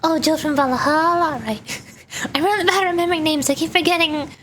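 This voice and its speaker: A woman's voice: high voice, fairly quick, fairly bright tone. A woman streamer chatting casually and rambling during a game livestream, with noise behind her. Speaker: streamer, virtual YouTuber.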